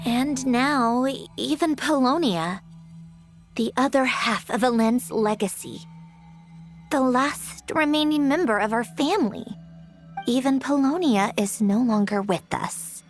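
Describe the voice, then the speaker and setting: An actress playing a weary, sardonic female inventor in a video game, speaking slowly with a dry, sighing delivery. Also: sits high. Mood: wistful. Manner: dry, sighing, slow and reflective. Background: soft game music.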